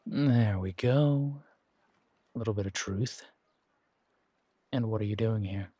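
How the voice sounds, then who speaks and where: A man playing a menacing, wry pirate captain in a roleplay audio, speaking in a taunting, deliberate drawl with dramatic pauses. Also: lowish voice, dark tone, husky, no room sound. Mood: stern, probing. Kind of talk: scripted roleplay audio acting.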